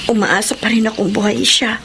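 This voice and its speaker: A woman's voice: fairly high pitch, steady tone, a little fast, vocal fry, a bit bright, slight background noise. An actress playing a steadfast young mother in a radio drama, emotional and protesting in delivery. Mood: gentle, reluctant. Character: steadfast young mother, stubbornly loyal to missing husband.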